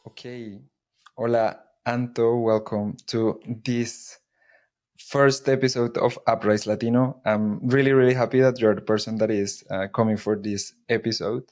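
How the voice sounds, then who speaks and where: A man's voice: mid-range voice, flat delivery, normal speed, darkish tone. A man hosting a podcast, welcoming his guest and often repeating words as he thinks aloud. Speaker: podcast host. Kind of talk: podcast interview.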